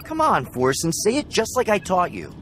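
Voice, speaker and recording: An actor playing an excitable, awkward zealot in a video game, flustered and animated. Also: male voice, high-pitched, moderate pace, slight background noise, full character voice. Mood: excited, flustered.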